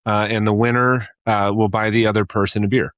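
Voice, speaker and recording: A man's voice: lowish voice, level tone, brisk, a bit bright, no echo. A man co-hosting a podcast, easygoing and joking, often adding short remarks. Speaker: podcast co-host. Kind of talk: casual chat.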